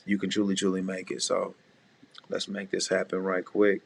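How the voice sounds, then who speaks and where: A man with a deep voice, a music producer casually talking beginners through mixing, often saying "you know what I'm saying". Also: completely flat delivery, moderate pace, vocal fry, husky. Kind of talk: casual screen-share tutorial.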